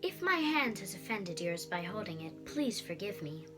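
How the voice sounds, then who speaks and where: A woman's voice: mid-range voice, very animated, normal speed, over music, close to an everyday voice. A young female reader voicing an earnest, courtly young man in love in a storybook.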